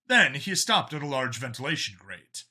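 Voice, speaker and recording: A man's voice: mid-range voice, very animated, normal speed, pushed and bright, breathy edge. A man reading a science fiction action story aloud as dramatic scripted narration. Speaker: audiobook-style story narrator.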